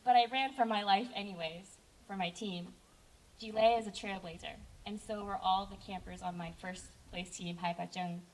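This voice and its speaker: A young woman giving a heartfelt personal speech to campers, earnest and warm in tone. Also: mid-range voice, fairly even intonation, normal speed, recorded from a distance, low-quality recording. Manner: heartfelt, earnest, with brief self-corrections. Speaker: camp director giving a speech.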